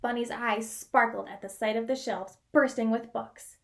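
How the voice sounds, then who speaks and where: Female children's storyteller, high-pitched, expressive picture-book read with drawn-out key words. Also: creaky.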